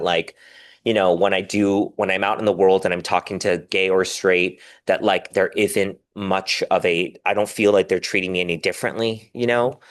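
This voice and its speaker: A man talking casually and candidly as a podcast guest, animated and rambling, with self-deprecating humor. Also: sits low. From diaspora Korean, raised in the West.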